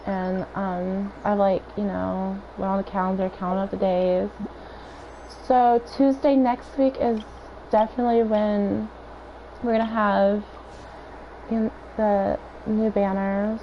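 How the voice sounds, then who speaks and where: A hoarse woman with a raspy voice, chatting and thinking aloud in casual gameplay commentary as she streams. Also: mid-range voice, flat delivery, takes it slowly. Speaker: game streamer. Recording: dull lo-fi stream audio with faint noise.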